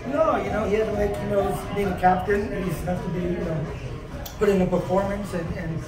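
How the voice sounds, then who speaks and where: A man in his forties, a soccer coach, giving a measured, plain-spoken postgame interview with some halting fillers, standing in a concrete stadium corridor. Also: high-pitched, music in the background. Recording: echoey hallway, slightly distant mic, loud crowd behind.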